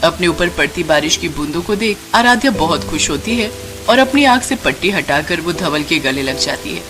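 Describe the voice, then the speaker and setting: A woman's voice: lowish voice, expressive, moderate pace. Woman narrating a story over music.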